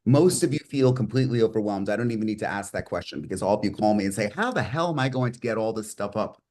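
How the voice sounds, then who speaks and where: Low-voiced man hosting an online meeting. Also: expressive, fast, darkish tone. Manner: rambling, restarts, jokey with participants. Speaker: meeting host. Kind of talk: online group meeting presentation. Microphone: video call.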